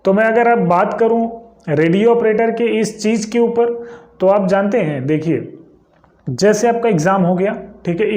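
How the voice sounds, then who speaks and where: A man's voice: high-pitched, a little mellow. A young man explains things informally to viewers, often adding short check-in tags as he goes. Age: young adult. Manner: conversational, frequently checking understanding with short tags. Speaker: YouTube exam-information presenter. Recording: echoey room sound.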